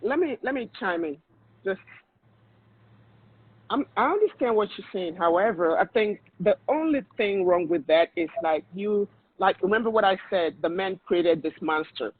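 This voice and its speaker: A man with a Nigerian accent holds forth on a podcast, laying down blunt advice in a lecturing tone. His voice runs high and has a husky grain. The sound is narrow and tinny, like a phone call. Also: animated, moderate pace. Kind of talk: opinionated podcast discussion. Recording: tinny phone-line audio.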